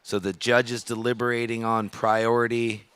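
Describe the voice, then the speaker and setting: A man's voice: medium pitch, flat delivery, normal speed, fairly bright tone, clear, resonant tone. Man calling a live surf contest. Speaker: webcast commentator and beach announcer.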